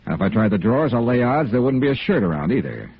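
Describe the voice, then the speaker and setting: A man's voice: medium pitch, big pitch swings, fast talker, slightly rough. An American actor playing a hard-boiled, persistent insurance investigator in a radio noir drama, clipped and matter-of-fact as he narrates and questions. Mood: probing, insistent. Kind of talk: 1950s radio noir acting. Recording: dull vintage radio recording with music.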